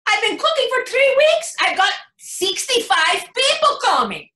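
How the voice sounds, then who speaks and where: A woman's voice: very high voice, big pitch swings, measured pace, sharp tone, full character voice. A woman playing an exasperated, overwhelmed mother in a theatrical reading, speaking emphatically. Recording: roomy, echoing sound.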